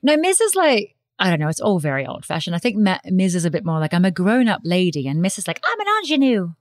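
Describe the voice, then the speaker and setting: Animated, teasing woman podcast host. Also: on the low side, quick pace, no room sound, studio quality. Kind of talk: interview podcast.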